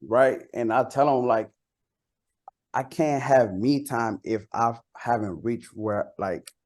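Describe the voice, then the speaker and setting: A man's voice: mid-range voice, slow pace, darkish tone. A man from Costa Rica, a native Spanish speaker, chatting casually as an interviewed rapper, slangy and repeating words for emphasis.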